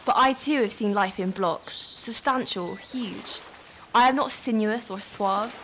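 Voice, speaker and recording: A woman's voice: mid-range voice, measured pace, background noise, natural voice. A woman playing a hard, earthy, plain-spoken middle-aged country woman in a literary radio drama. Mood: blunt.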